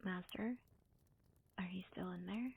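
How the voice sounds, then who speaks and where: A woman playing a devoted, deferential servant in an ASMR roleplay, with a soft, breathy, half-whispered voice. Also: lowish voice, unhurried, a little hiss or hum. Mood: timid, attentive. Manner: gentle, deferential, hesitant. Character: devoted, doting young female servant to her master.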